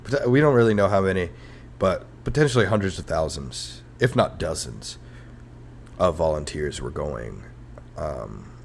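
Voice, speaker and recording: Low-voiced guy rambling through history jokes, sarcastic, faint noise behind. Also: creaky. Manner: sarcastic, rambling, self-mocking. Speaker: podcast host. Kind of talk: comedic history podcast monologue.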